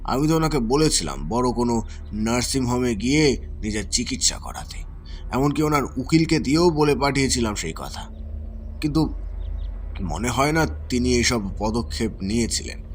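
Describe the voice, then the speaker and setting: A man's voice: mid-range voice, lively intonation, moderate pace, slight background noise, lightly acted. A man playing a courteous, concerned physician in a Bengali audio drama, with a polite, deferential and dramatic delivery. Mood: grave, explanatory. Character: courteous, concerned male physician questioned in a murder case.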